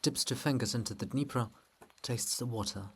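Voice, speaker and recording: A man narrating a play with measured, theatrical delivery and intimate asides to the listener. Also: medium pitch, vocal fry, fairly bright tone, husky. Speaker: narrator of a dramatic play reading.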